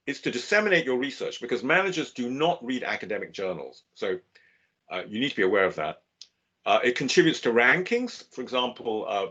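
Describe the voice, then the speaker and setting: An older man, a business professor, giving a measured webinar lecture with occasional fillers and restarts. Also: fairly low pitch, a bit bright. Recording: dull lo-fi webinar audio.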